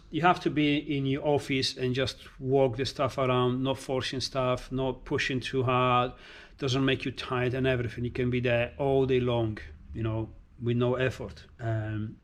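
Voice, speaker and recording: A man who grew up in Italy, speaking English as a second language, rambling casually with many fillers and restarts while showing his race car. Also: medium pitch. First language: Italian.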